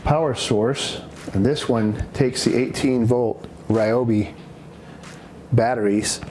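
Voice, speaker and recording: A man giving an unscripted product demonstration in a halting, matter-of-fact way, as a presenter explaining equipment. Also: mid-range voice, darkish tone, a little hiss or hum. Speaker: presenter demonstrating radio gear. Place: indoors in a house.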